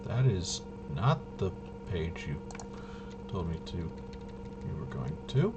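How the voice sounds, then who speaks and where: A man's voice: low voice, very animated, takes it slowly, heavy background noise. A man casually thinking aloud as he plays a tabletop roleplaying game, hesitant and muttering to himself while he checks rules. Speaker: solo tabletop RPG player demonstrating gameplay.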